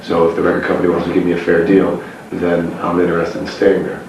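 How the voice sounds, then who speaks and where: A man's voice: completely flat delivery, fast talker. A young man fronting a band, talking bluntly and with lots of swearing in a casual interview, taped from a distance in an echoey, noisy room. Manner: blunt, profane, says he has a very low voice.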